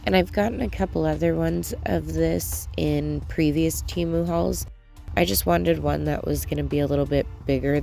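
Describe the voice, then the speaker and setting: A woman's voice: deep voice, vocal fry, dark tone. A young woman hosting a nail supply haul, chatty and enthusiastic, a little stuffy from a cold. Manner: chatty, enthusiastic, filler-heavy. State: stuffy, getting over a cold. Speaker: nail channel host. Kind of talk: casual haul review to camera.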